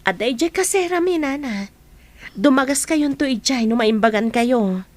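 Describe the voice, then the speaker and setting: A woman's voice: high-pitched, expressive, normal speed, a little mellow, dry recording. A woman playing a kind, reasonable adult woman in a radio drama, polite and explanatory. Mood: inviting.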